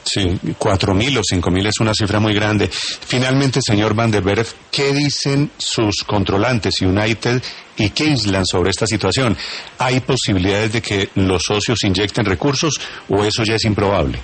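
Husky radio host, low voice. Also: male voice, fairly bright tone. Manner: brisk, formal interviewer. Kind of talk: morning news radio interview.

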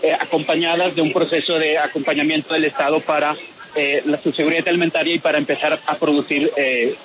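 A man from Spain with a Spanish accent speaks in a somewhat raised voice and lays out his analysis in a careful, measured way. He often stops on "eh" and restarts his sentences. The line has a clear hiss of noise behind him. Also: a little high, little pitch movement, a bit bright, poor audio. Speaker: peace process analyst. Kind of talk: phone-in radio interview.

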